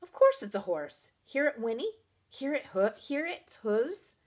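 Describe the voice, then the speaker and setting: Lady doing a stubborn young storybook girl, pitched up high, really emotional and exclaiming. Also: very animated, a little slow, exaggerated voice. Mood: impatient, defiant. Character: headstrong young girl, scared and defiant storybook heroine.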